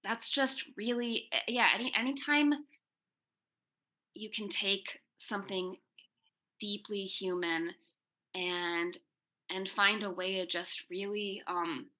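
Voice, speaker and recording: A woman speaking as a writer on a discussion panel, thoughtfully, often halting and repeating words as she forms her thoughts. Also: mid-range voice, steady tone, pushed and bright. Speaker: comics writer and panel guest.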